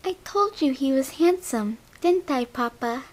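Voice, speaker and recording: A woman's voice: sits high, animated, a little slow, darkish tone. A woman voicing a cute, playful little girl who is a teacup, in an audio drama. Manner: childlike, playful.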